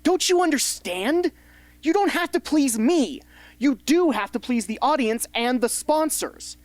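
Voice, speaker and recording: Woman acting a blunt, exasperated mentor, lively pitch swings. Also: a little slow, no echo. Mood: frustrated, scolding. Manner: blunt, scolding. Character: blunt, exasperated, sarcastic mentor to a young tribute. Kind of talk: radio drama acting.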